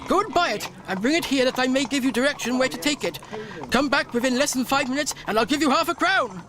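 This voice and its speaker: An actor playing a reformed old miser, an excitable, generous gentleman with an effusive, exclamatory manner in a radio play. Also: very high-pitched, fast talker. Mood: giddy delight. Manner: exclamatory, effusive, repeats phrases. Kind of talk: radio drama acting.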